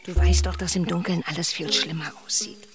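A woman voicing a calm, caring troll mother in a children's radio play, gentle and reassuring. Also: on the low side, fairly quick, natural voice. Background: music.

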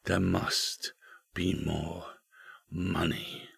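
A man voicing the eerie, insistent whisper of a haunted house, breathy and drawn out, in a storybook reading. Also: deep voice, flat delivery, fairly bright tone, full character voice. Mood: eerie, secretive. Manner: slow, drawn-out repetition.

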